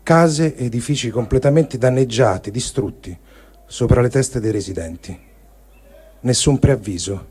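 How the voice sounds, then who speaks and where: A man reading diary entries aloud in a grave, measured way. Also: medium pitch, a little mellow, breathy edge. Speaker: reader.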